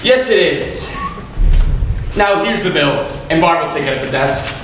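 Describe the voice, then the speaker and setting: A male actor in a school stage comedy playing a shady, flustered, smooth-talking therapist with a glib, sarcastic manner. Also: on the high side, expressive, a little slow. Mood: brisk. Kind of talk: live amateur stage comedy acting. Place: school stage, recorded from the audience. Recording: echoey hall, distant mic, noisy audience room.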